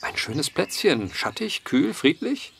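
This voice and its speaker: An actor playing a wry, polite journalist who poses as a farmhand, speaking eloquently in a German audio drama. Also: male voice, fairly high pitch, big pitch swings, takes it slowly, vocal fry, fairly bright tone, a little hiss or hum, full character voice. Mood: polite, droll. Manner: eloquent, dryly ironic, polite. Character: wry truth-seeking journalist posing as clumsy farmhand.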